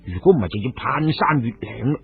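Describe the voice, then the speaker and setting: A man voicing a battle-wise, hearty but cautious elder sworn brother in a Cantonese radio story, speaking in a measured way. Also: medium pitch, lively intonation, creaky, husky, no echo, pitched below the performer's own voice, exaggerated voice. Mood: surprised. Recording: phone-like, muffled audio with music.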